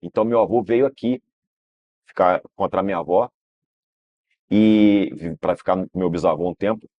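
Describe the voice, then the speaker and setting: A man's voice: sits low, normal speed, dry recording, highs cut off. A Brazilian man, a guest in an interview telling long family stories, rambling and restarting with frequent tag questions. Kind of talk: relaxed storytelling interview.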